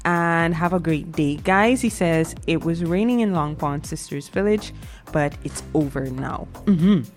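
A woman's voice: sits low, measured pace, soft background music. A Guyanese woman co-hosting a morning radio show, chatting casually and reading out listener messages. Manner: upbeat, reads listener messages aloud.

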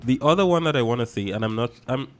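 A man's voice: mid-range voice, lively intonation, quick pace, soft background music. A man with a Guyanese accent, co-hosting a live morning show and talking emphatically. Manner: chatty, emphatic, repeats points. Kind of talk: live talk show chat. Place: TV studio.

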